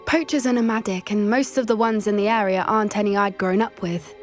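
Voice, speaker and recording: A woman performs a teenage British witch for a fantasy video game. She is earnest, articulate and a little wistful, at a medium pitch. Soft music sits behind her confiding delivery. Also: steady tone, a little fast, natural voice. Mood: brisk, determined. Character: earnest teenage witch girl, animal lover, wistful but resolute. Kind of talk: video game dialogue acting.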